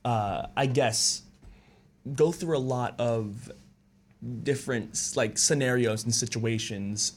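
A young man, a musical theatre student, speaking as a radio guest in a relaxed, halting way full of fillers. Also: mid-range voice. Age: young adult. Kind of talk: casual radio interview chat.

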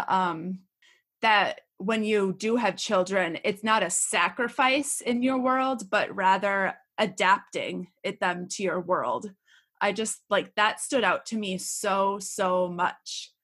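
A woman's voice: mid-range voice, takes it slowly, fairly bright tone. A woman hosting a podcast, gushing and eager as she introduces and questions her guests. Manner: enthusiastic, gushing. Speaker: podcast host. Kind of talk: podcast interview.

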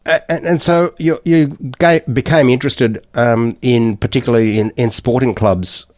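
A man's voice: medium pitch, steady tone, moderate pace, a little mellow, no echo, clean studio sound. A man hosting a community radio show, who asks questions in a hesitant way and often stumbles over his words. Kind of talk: radio interview.

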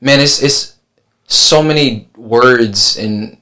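A man from San Juan, Puerto Rico, a barber on a podcast panel, speaking in a measured way with pauses. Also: mid-range voice, bright, forceful tone. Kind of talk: casual panel chat.

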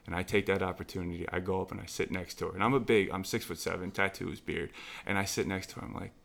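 A man's voice: low voice, fairly even intonation, quick pace, vocal fry, fairly bright tone. A man who trains young basketball players, talking casually on a podcast and telling stories with emphatic repetition. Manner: emphatic, storytelling, repeats phrases for stress.

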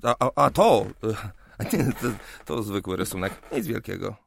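A man's voice: lowish voice, big pitch swings, a little slow, creaky, exaggerated voice. A man playing a shy, polite young land surveyor in a radio play, modest and hesitant in manner. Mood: flustered.

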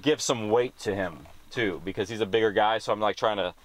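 A man's voice: fairly low pitch, brisk. A man working as a foley artist, chatting casually and thinking aloud with lots of filler "like"s. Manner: informal, thinking aloud with many "like"s. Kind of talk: casual work chat in a studio.

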